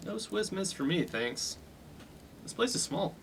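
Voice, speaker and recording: A man's voice: high voice, big pitch swings, a little slow, creaky, a little hiss or hum, exaggerated voice. A man playing a cocky, blunt young fraternity upperclassman with a chip on his shoulder in a comic radio play. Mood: brusque. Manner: blunt, slangy. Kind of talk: 1950s-set comic radio play acting.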